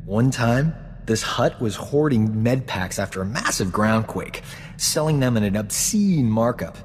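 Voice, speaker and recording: An actor playing a cocky, flirtatious, boastful rogue doctor in a sci-fi video game, glib and smooth-talking. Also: male voice, medium pitch, expressive, measured pace, in a large hall. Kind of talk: video game dialogue acting.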